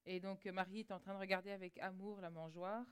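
A woman's voice: medium pitch, steady tone, fast talker, smooth. A woman interpreting a sermon live, often hesitating and repeating small words as she searches for the right phrasing.

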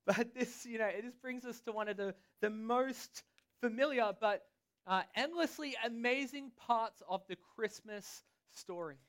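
A man's voice: very high-pitched, a bit bright. An Australian man gives a sermon in an easygoing, conversational manner. He talks in short bursts, leaving room after each one for an interpreter. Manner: casual, chatty, pauses for translation. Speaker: pastor.

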